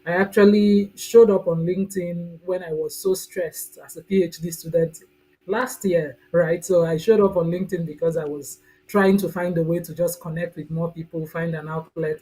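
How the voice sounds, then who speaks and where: A woman's voice: lowish voice, fairly even intonation, normal speed, slightly rough, rough recording. A woman in her thirties with a Nigerian accent, speaking as a guest over a video call in a chatty, friendly way. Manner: warm, chatty, laughs often, says "right?". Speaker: guest, mentoring coach. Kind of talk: casual interview talk.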